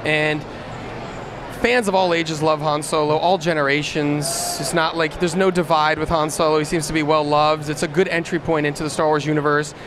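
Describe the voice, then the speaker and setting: A man with a high voice explains a production in a casual interview. He pauses and restarts a little, and clear convention noise sits behind him. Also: flat delivery. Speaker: radio play creator and director. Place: live convention event, microphone.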